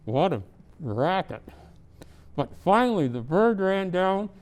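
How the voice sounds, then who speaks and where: Slow, clear-voiced man reading a story. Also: sits high, big pitch swings, a little mellow. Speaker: storyteller presenting as Santa Claus. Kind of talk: storybook read-aloud with casual chat.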